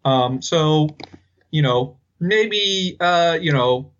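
A man hosting a casual podcast, speaking hesitantly and haltingly as he thinks aloud. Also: fairly high pitch, expressive, a bit bright, lo-fi sound. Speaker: podcast host.

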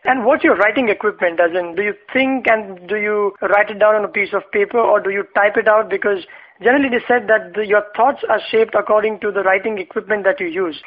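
High-voiced male interviewer, hesitant. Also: brisk, narrow, tinny audio. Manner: hesitant, many "uh" fillers while asking. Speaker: interviewer, host. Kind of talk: radio interview questions.

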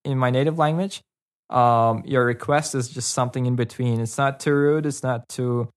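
A man's voice: medium pitch, steady tone, normal speed. A man, a guest in a casual interview, talking hesitantly with many fillers and restarts. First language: Karachay.

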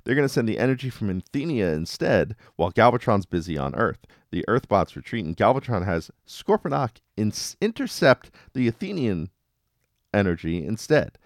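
Low-voiced man recapping with wry asides. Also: big pitch swings, normal speed, no room sound, clean studio sound. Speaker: podcast host. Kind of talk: casual episode recap.

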